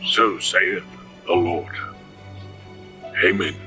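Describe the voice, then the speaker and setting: A man's voice: low voice, drawn-out words, far from the mic, music in the background. A man playing a solemn old-time preacher with grave, archaic phrasing in an audio drama.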